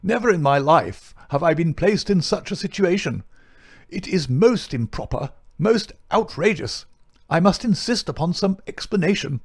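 A man voicing a pompous, indignant, respectable older gentleman in an audiobook story, formal and huffy. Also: on the high side, expressive, moderate pace, pitched above the performer's own voice. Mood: indignant, outraged. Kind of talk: audiobook character acting.

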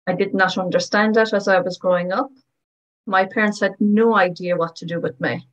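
A woman speaking as a voice-over interpreter, giving first-person testimonies of signers in short, measured phrases. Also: medium pitch, steady tone, lo-fi sound. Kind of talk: voicing first-person testimonies in plain narration.

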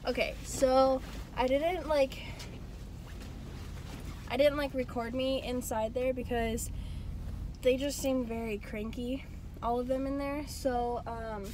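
Sick young woman chatting slowly in her car. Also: high-pitched, steady tone, mellow, low-quality recording. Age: young adult. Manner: chatty, rambling with many fillers. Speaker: vlogger. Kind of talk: casual vlog chat. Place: inside a parked car. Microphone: phone camera on the dashboard. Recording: phone in a car, clear background noise.

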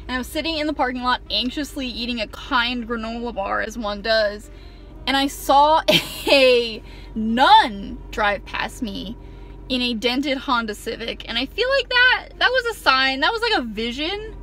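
A woman's voice: a little high, expressive, a little slow, bright, forceful tone, faint music behind. A young woman telling a self-mocking, sarcastic story in a parked car, rambling with lots of ums, recorded by a camera on the dashboard. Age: young adult. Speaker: vlogger. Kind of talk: casual storytime monologue.

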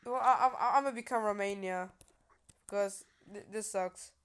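A woman chatting casually while playing a strategy game with a friend, excitable and joking, often tripping over her words. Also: mid-range voice, moderate pace, clear, resonant tone. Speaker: gamer streaming with a friend. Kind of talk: casual gaming chat.

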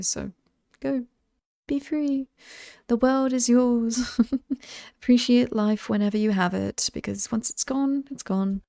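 A woman plays a British slasher killer who is oddly sweet and apologetic. Her voice is creaky and close to the mic in a dry space. She chats wryly, as if confiding to one listener in an ASMR roleplay. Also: medium pitch, mellow, no echo. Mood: gentle, apologetic. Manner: conversational, wry, hesitant asides. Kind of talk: ASMR villain roleplay monologue.

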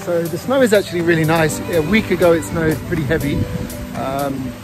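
A man's voice: on the high side, fairly quick. A man talking casually to camera as a ski camp leader, explaining things in an informal, upbeat way. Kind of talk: casual vlog talk to camera. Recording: music behind the voice. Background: music.

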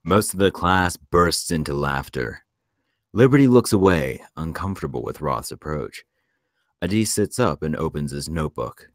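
A man narrating a screenplay at a table read in a measured storytelling delivery. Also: very deep voice, expressive, no room sound, clean studio sound. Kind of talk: scripted narration of stage directions.

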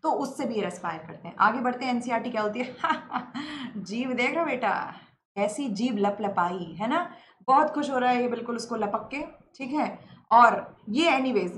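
A young woman with a sore throat, teaching a lively, teasing online biology class. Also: fairly high pitch. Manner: playful, teasing, mixes English terms into Hindi. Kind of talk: lively live-stream lecture. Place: indoor room, green-screen beach backdrop. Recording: slightly roomy with faint ambient hiss.

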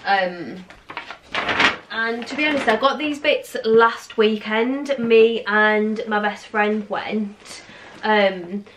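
A woman's voice: on the high side, a bit bright, slight background noise. A young woman in her twenties, chatting casually in a clothing haul vlog, rambling with lots of fillers. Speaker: vlogger. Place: living room, seated on sofa.

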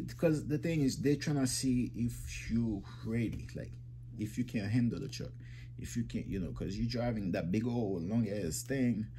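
A man's voice: lowish voice, dark tone, a little hiss or hum. A young man in his twenties talking casually to the camera from a small room, rambling and repeating himself as he addresses viewers as guys. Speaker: trucking vlogger.

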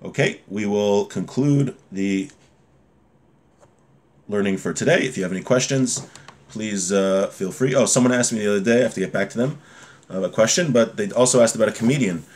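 A man's voice: fairly low pitch, moderate pace, a little mellow. A man around forty giving an informal religious lesson in a conversational way, reading Hebrew phrases and explaining them with everyday examples, recorded at a desk in a home room. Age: 30s to 40s. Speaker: religious teacher. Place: small home room, indoors. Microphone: webcam or device mic at desk distance.

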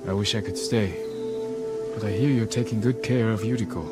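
Game lead: actor as stoic male samurai, deep register, restrained and dutiful, light score behind. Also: fairly even intonation, brisk, lightly acted. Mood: reserved. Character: stoic, resolute samurai lord, dutiful and gentle-hearted. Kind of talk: video game cutscene acting. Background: soft cinematic game music.

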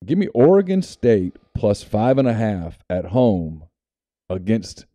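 A man in his thirties co-hosting a sports podcast, measured with dry humor, wearing headphones at a studio mic. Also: on the low side, very animated, mellow. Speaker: podcast co-host. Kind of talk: casual sports betting chat. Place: small studio room.

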